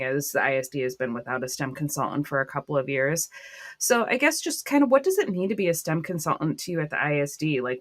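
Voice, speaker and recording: A woman hosting a casual podcast interview, friendly and asking her guest questions. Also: sits low. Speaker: podcast host.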